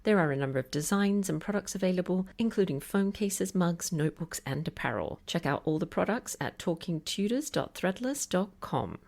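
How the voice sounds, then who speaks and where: Low-voiced podcast host woman. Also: big pitch swings, moderate pace, creaky, hardly any pauses, darkish tone. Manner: polished, enthusiastic read of announcements. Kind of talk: scripted podcast intro and interview.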